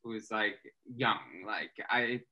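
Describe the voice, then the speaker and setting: Bright-voiced man hosting a casual chat. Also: on the low side, slow pace, dull audio. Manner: relaxed, slangy, "bro" talk with "like" fillers. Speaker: podcast host.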